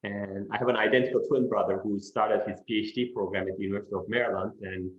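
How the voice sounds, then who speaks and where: A middle-aged man from Turkey, a professor answering interview questions in an unhurried way, with many "you know" fillers. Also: lowish voice, steady tone, slight background noise. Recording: echoey room, dull lo-fi sound.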